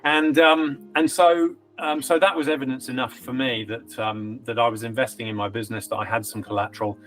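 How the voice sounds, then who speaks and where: A man with a British accent softened by years in New Zealand, giving a client testimonial in a reflective, hesitant way, with frequent ums and restarts. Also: medium pitch, level tone, fairly quick. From Britain. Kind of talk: conversational testimonial interview.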